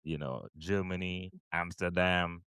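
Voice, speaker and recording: Male host with a lowish, clean voice; loose, rambling questions in a dry room. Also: fairly even intonation, unhurried, fairly bright tone, clean tone, no room sound. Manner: rambling, many fillers and restarts. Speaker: podcast host. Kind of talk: casual interview questions.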